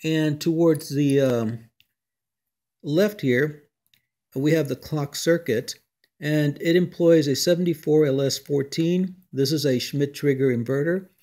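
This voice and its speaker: A man giving an unscripted technical demonstration as an electronics hobbyist, explaining in a measured, halting way. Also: on the high side, warm tone.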